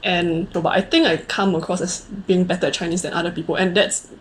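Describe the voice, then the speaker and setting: Casting: Singaporean woman, late 20s, lowish voice, casual co-host chat, noisy background. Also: little pitch movement, a little fast. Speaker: co-host of a conversational video series.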